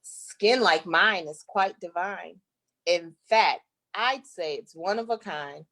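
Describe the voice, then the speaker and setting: A woman from Flint in the United States, an author reading her children's book aloud with deliberate, playful expression. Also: on the low side, fairly bright tone.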